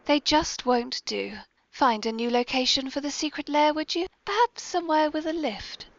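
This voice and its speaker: A woman's voice: sits high, moderate pace. A woman with a British accent playing a genteel, mischievous lady mastermind, hosting a scripted comic podcast in a prim, arch, formal manner. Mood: genteel, gracious. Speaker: podcast host. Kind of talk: scripted comic audio drama. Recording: dull lo-fi podcast recording.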